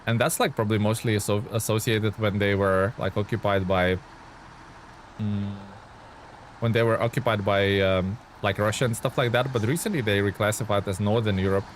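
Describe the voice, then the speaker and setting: A man's voice: lowish voice, steady tone, normal speed, slight background noise, rough recording. A man from Lithuania chatting loosely on a live game stream, reading out and answering viewer comments with plenty of fillers. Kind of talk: casual live-stream chat.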